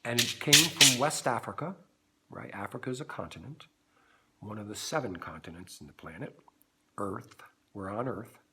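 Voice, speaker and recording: An animated middle-aged man with a low bass voice and a lively, swooping pitch teaches children about music. His tone is bright and forceful, and he keeps asking "right?" as he talks. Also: moderate pace, slight background noise. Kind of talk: playful lesson for kids.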